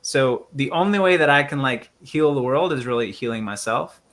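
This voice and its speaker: Earnest man with a bright voice. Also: medium pitch, moderate pace. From United States. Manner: earnest, thoughtful, many ums and you-knows. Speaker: musician and show host. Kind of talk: reflective conversational interview.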